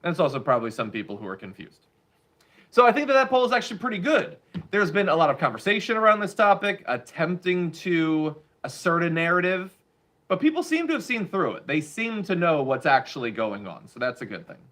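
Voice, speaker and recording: A man's voice: fairly high pitch, very animated, a little fast, fairly bright tone. A man hosting a political commentary show, giving blunt, sarcastic opinions on the news.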